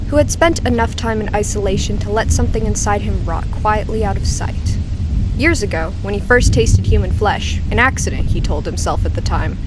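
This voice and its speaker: A woman narrating a scripted horror story in a measured, suspenseful manner with dramatic pauses. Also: medium pitch, noisy background.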